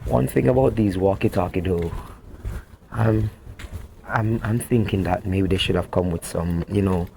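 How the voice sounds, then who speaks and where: A man's voice: deep voice, moderate pace, creaky, warm tone, slight background noise, rough recording. A man chatting casually, often repeating his phrases for emphasis. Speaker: vlogger testing walkie-talkies outdoors. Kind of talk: casual chat over walkie-talkie.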